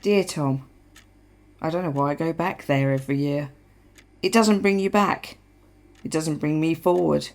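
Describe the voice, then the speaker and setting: An actress playing a reflective, haunted wife in a radio play, with a measured way of speaking. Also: female voice, sits low, darkish tone. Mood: wistful, grave. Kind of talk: radio play acting with letter-like narration.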